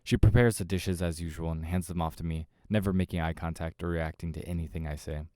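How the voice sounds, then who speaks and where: A man's voice: sits low, fairly even intonation, fast, darkish tone. A man reading a visual novel aloud as a gamer, dramatic narration with casual asides.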